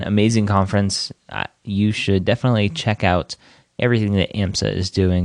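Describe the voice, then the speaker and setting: A man's voice: fairly low pitch, no echo, clean studio sound. A man hosting a podcast, introducing interviews in an upbeat, encouraging way. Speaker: podcast host.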